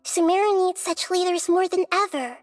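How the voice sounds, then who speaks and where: A woman voicing a small, childlike but wise goddess in a video game, speaking in a gentle, measured, formal way. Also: very high voice, a little hiss or hum. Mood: thoughtful, earnest.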